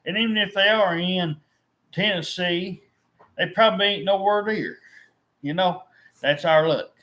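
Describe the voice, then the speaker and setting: A man's voice: high voice, unhurried, pushed and bright. A middle-aged man venting to his viewers, frustrated and repetitive, often restarting his sentences. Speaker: vlogger. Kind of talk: rambling to-camera vent.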